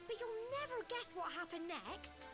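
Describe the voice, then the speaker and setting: A woman's voice: very high voice, expressive, moderate pace. A young woman playing an earnest, eager village girl with a British accent in a video game. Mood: eager, admiring. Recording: game dialogue under loud music.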